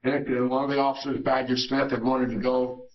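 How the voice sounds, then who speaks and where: An older man, a Navy veteran, reminiscing in an interview, halting with many fillers and restarts. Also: mid-range voice, flat delivery, highs cut off.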